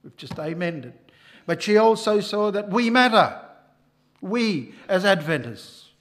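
An older man preaching a sermon at a church lectern microphone, emphatic and rising loud on key lines. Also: sits high, lively intonation, measured pace.

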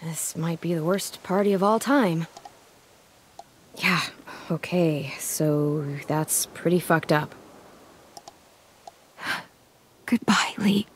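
A woman's voice: fairly low pitch, slow pace, pauses often, a little hiss or hum. A woman voicing a tough, sardonic teenage American girl in a zombie survival video game, blunt and dry.

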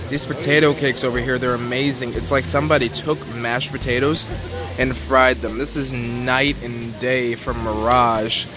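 A man's voice: mid-range voice, normal speed, a crowd in the background. A young man hosting a casual food vlog, chatting loosely with lots of fillers as he films himself on a phone at an outdoor poolside cafe. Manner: relaxed, chatty, frequent fillers. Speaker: vlog host. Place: outdoor cafe patio by a pool. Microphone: phone camera held at arm's length. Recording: noisy outdoor phone recording.

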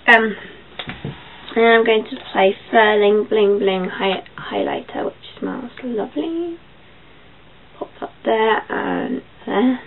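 A young woman with a croaky voice, chatting casually through a makeup tutorial in her bedroom, with filler ums as she goes. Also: a little high, big pitch swings, slow. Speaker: beauty vlogger. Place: small bedroom. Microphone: built-in webcam mic. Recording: tinny lo-fi webcam audio, faint hiss.

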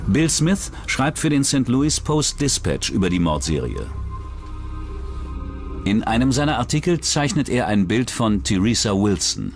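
A man's voice: medium pitch, very animated, unhurried. A man delivering scripted true-crime narration as the narrator of a documentary. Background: music.

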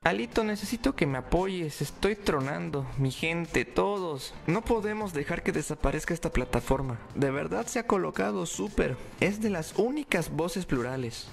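A man's voice: fairly high pitch, lively intonation, normal speed, gravelly, hardly any pauses. A man voicing a desperate woman begging a politician for help, reading her chat messages aloud in a pleading way. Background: faint music.

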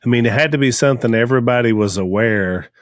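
A man's voice: medium pitch, quick pace, no room sound. A middle-aged man co-hosting a podcast, talking conversationally into a close desk microphone. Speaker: podcast co-host. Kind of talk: conversational discussion. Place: small home studio, on remote call.

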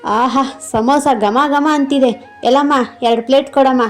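A woman's voice: high-pitched, steady tone, moderate pace, lightly acted. A woman voicing a delighted male street-food customer in a cartoon, savouring and effusive.

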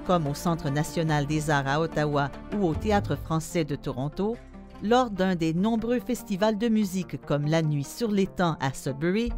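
Give me a woman reading documentary narration evenly over a clear music bed. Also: on the low side. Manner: measured, even reading.

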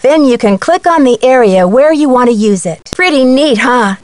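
A woman's voice: high voice, lively intonation, moderate pace, a little mellow, dry recording. Perky girl detective for a video game, really bouncy intonation, friendly and helpful. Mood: chipper, instructive. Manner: friendly, clear instructional delivery. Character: young female amateur detective, chipper, helpful, confident. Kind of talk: scripted video game tutorial narration.

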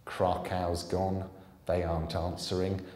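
A man's voice: low-pitched, little pitch movement, slow pace, darkish tone. A man voicing a smug, deferential moustached henchman in a story reading. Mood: worried. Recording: roomy hall echo.

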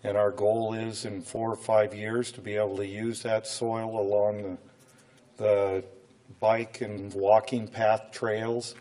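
A middle-aged American man speaks slowly and haltingly at a podium, as a city official addressing a council. His voice is on the low side with little melody, and a cold makes it come and go. He keeps his presentation informal and plain-spoken. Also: level tone, mellow. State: voice coming and going from a cold. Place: indoor council chamber, standing at a podium.

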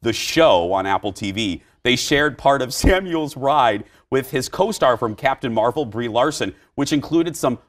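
Animated man hosting a TV talk show. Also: mid-range voice, moderate pace, few pauses. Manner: animated, often jumps to shouting. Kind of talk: daytime TV talk show chat. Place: bright TV studio set. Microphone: lapel mic.

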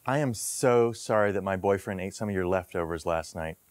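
A man's voice: low-pitched, normal speed, no room sound, lightly acted. A man playing a prissy, self-satisfied roommate who talks politely and smugly. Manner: polite, smug.

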